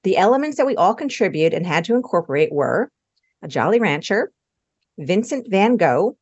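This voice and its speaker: A woman hosting a podcast, introducing the episode in an upbeat, clearly explaining way. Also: mid-range voice, sing-song, moderate pace, lo-fi sound. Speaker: podcast host. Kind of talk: scripted show introduction.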